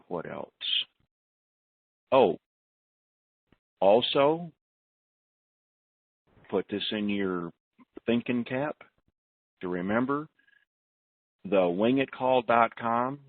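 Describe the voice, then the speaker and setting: A man hosting a conference call, giving informal announcements in a deliberate, plain-spoken manner over a telephone. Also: mid-range voice, dry recording. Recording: narrowband telephone conference line.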